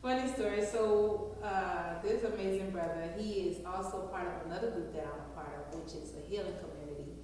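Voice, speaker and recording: A woman's voice: lowish voice, fairly quick, hardly any pauses, a little mellow, heavy reverb, long reverb tail, recorded from a distance, slight background noise, poor audio. A woman from Liberia, a guest chef answering interview questions with enthusiastic storytelling.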